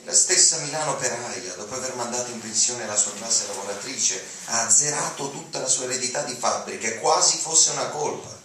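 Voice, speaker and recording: A man's voice: medium pitch, a little fast, few pauses. A man gives a declamatory, dramatic reading of prose. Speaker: reader of literary texts. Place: auditorium, at a lectern before an audience. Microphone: lectern mic, recorded from far camera. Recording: echoey hall, distant mic, room noise.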